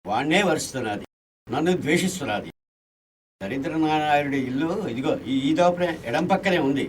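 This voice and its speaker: An actor playing a boastful, foolish, lecherous villain in a radio play, theatrical and insistent. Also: male voice, on the high side, animated, a little fast, far from the mic, a little hiss or hum, close to an everyday voice. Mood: excited, urgent. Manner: theatrical, self-important, insistent. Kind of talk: radio play acting in Telugu.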